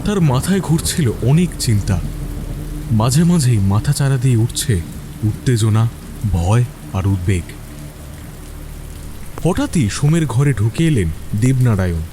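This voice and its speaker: A man's voice: mid-range voice, noisy background. A man narrating a scripted mystery story in a dramatic storytelling style.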